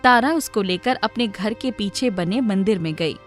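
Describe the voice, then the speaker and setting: A woman's voice: mid-range voice, lively intonation, moderate pace. A woman narrator reading a scripted story for children. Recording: music playing behind the voice.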